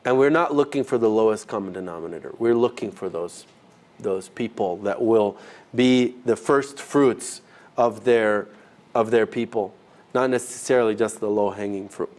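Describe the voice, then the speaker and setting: A man leading an informal teaching session for a group, talking in a conversational, halting way and rephrasing as he goes. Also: fairly low pitch, darkish tone. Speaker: ministry trainer teaching a group. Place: live session with a group present.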